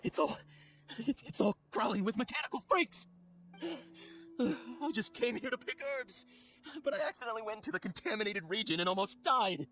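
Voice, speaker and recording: A man's voice: high-pitched, moderate pace, a bit bright. An actor playing a delirious, frightened herb gatherer in a video game, a man who stammers and trembles with paranoia. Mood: paranoid. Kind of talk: video game character acting.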